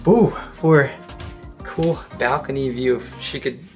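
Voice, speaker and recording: A man's voice: medium pitch, takes it slowly. A young man chatting upbeat on a travel vlog aboard a cruise ship, filmed on a handheld camera. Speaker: vlogger. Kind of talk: casual travel vlog chat. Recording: background music over the voice.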